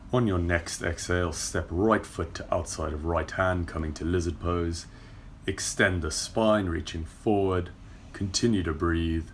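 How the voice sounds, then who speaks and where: A young man leading a yoga warm-up flow, calmly cueing breath and movement, recorded from a camera across a car park. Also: sits low, gravelly, slight background noise. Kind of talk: guided workout instruction. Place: indoor car park with brick wall. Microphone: far camera.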